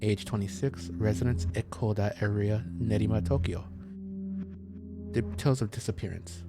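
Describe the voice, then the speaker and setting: A man's voice: on the low side, fairly even intonation, normal speed. Man streaming a game over music. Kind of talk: casual let's play commentary.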